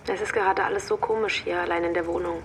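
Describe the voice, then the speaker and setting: A woman's voice: lowish voice, fairly even intonation, quick pace, slight background noise, close to an everyday voice. An actress playing a pensive, lonely working mother who talks hesitantly and thoughtfully in a naturalistic radio drama. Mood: lonely, weary.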